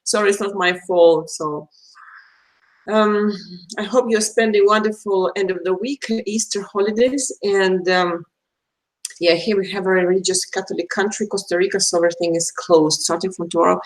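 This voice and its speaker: A woman from Belarus, a native Russian speaker with a Russian accent, chatting casually and haltingly as she hosts an astrology live stream. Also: mid-range voice, fairly even intonation, low-quality recording. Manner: chatty, halting, searching for words.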